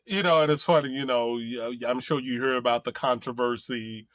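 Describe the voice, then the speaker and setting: Someone chatting casually in a halting way, often repeating words. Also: mid-range voice, steady tone, no room sound, lo-fi sound. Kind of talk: casual chat.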